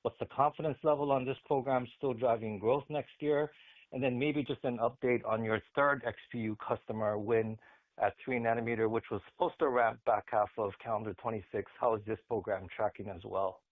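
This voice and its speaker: A man's voice: medium pitch, steady tone, a little fast. A man speaking as a financial analyst, asking detailed questions on an earnings call. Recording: phone-like conference call line.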